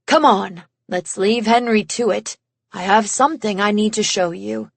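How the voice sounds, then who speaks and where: A woman's voice: medium pitch, moderate pace, vocal fry, no echo. A woman voicing a bitter, volatile genius of a man in an audiobook, intense, condescending and mocking. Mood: snide. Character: bitter, volatile, self-important genius man, haggard and snide. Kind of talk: audiobook character acting.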